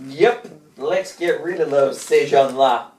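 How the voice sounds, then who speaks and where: A man's voice: on the high side, slow, a little mellow. A young man playing a ruthless, dismissive French general in an amateur puppet show, on an echoey recording with some noise and distance. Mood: callous. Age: young adult.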